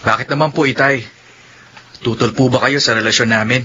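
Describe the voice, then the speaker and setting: A man's voice: mid-range voice, steady tone, a little fast, lo-fi sound, noisy background, lightly acted. A man playing an earnest grown son pleading with a parent in a radio drama.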